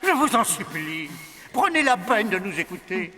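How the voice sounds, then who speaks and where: Nervous little cartoon sidekick, high voice, swoops around a lot, very polite. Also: male voice, sing-song, moderate pace, very noisy. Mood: pleading. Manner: nervous, deferential, stammering when alarmed. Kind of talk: French animated fairy-tale dubbing.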